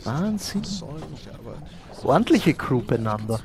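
A man improvising a polite, adventurous shopkeeper in an audio play, speaking haltingly and repeating his words. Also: mid-range voice, big pitch swings, a crowd in the background. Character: adventurous, polite male cork-shop owner.

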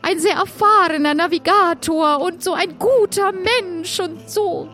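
A woman playing a desperate, coaxing young heroine who pleads haltingly in an improvised audio adventure. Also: extremely high pitch, sharp tone, soft background music, full character voice. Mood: desperate, pleading. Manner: halting, stammering.

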